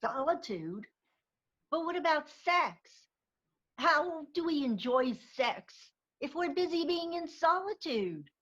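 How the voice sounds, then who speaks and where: A woman's voice: sits high, big pitch swings, takes it slowly, fairly bright tone, full character voice. A woman acting a nosy, cheeky, teasing friend in a comedy script read over a video call. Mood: challenging. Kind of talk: live comedy screenplay table read.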